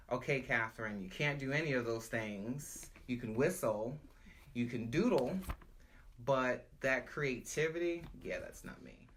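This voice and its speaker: A woman who founded and directs an arts nonprofit, telling her story in an emphatic, expressive way in an interview. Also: a bass voice. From United States.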